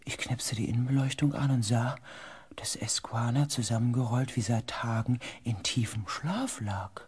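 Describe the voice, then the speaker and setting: A woman playing an anxious, weary space expedition pilot, narrating a dramatic audio drama in a hushed, intense way. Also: extremely low pitch, normal speed, breathy edge, heavily acted. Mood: hushed, uneasy. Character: anxious, weary expedition pilot recounting events, far future.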